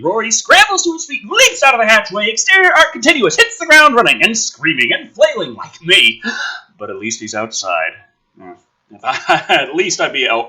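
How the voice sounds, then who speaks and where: A man doing a dramatic script reading with sarcastic, sweary commentary, swinging between hushed narration and exasperated ranting. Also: high voice, slightly rough, poor audio. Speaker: host of a gaming and commentary channel.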